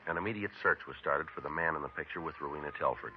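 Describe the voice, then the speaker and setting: An actor playing a terse police detective who narrates a case in a clipped, deadpan, matter-of-fact way, in a 1950s radio crime drama. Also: male voice, extremely low pitch, very fast, over loud music. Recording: tinny vintage radio broadcast.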